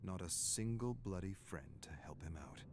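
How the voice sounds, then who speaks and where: A man reading a dramatic scripted story in a measured, brooding way, in short clipped phrases. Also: low-pitched, animated, background noise. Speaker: narrator.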